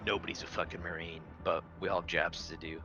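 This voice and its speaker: A man's voice: low-pitched, level tone, fast, dull audio. A man playing a tabletop roleplaying game in casual chat, blunt and bossy, swearing freely. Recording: music under the voice.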